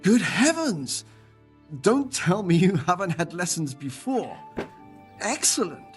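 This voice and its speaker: A stern old Latin schoolmaster. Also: male voice, high-pitched, big pitch swings, slow pace, exaggerated voice. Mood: amazed. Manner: lofty, mock-astonished praise. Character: stern older male Latin teacher priest. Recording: voice from the game, set back under music.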